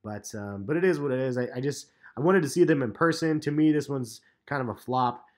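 A man in his thirties giving a relaxed, chatty sneaker review in a vlog, with plenty of ums and uhs. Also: medium pitch, brisk. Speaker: sneaker vlogger. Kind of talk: casual vlog commentary. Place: parked car, and voice-over on store footage.

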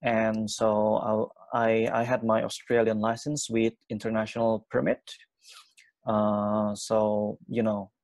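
Young indonesian guy on a video call, low fry in his voice, talks fast with lots of you know. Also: completely flat delivery, a little mellow, dry recording. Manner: quick, lots of fillers and restarts. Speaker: interviewee, English teacher. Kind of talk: casual interview answers. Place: small room at home.

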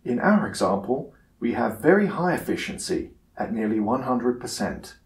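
A man giving a scripted software tutorial narration in a measured, instructional manner. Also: medium pitch, sing-song, reverberant.

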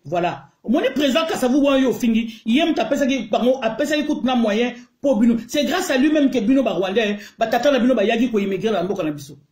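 Middle-aged Congolese-accented woman, fiery phone-video rant, higher voice, roomy echo. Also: expressive, fast, few pauses, a little mellow. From Congo (DRC). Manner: indignant, emphatic, mixes French and Lingala. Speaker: online commentator. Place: small room indoors. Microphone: phone held close to the face. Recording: echoey room.

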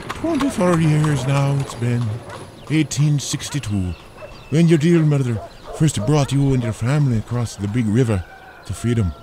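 A man's voice: medium pitch, animated, moderate pace, mellow, a little hiss or hum, natural voice. An actor playing a kindly, proud older parish priest in an audio drama, with a folksy, hesitating, fatherly way of talking. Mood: fond, proud.

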